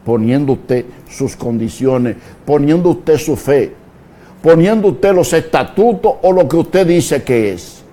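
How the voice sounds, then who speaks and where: Slow, impassioned preacher man. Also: on the high side, animated. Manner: slow, preaching with sudden loud shouted peaks. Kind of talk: fiery sermon.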